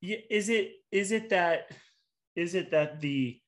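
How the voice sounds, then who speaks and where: Animated male interview host, higher voice. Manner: animated, jumps in with quick questions. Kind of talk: casual interview chat.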